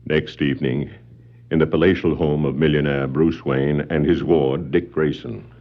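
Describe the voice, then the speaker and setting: Super deep voiced storyteller for 60s superhero record, portentous, dull vinyl sound. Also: male voice, fairly even intonation, moderate pace. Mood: dramatic. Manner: dramatic, portentous. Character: grave, dramatic male storyteller of superhero adventure. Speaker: narrator. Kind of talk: scripted 1960s adventure record narration. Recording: muffled 1960s vinyl record.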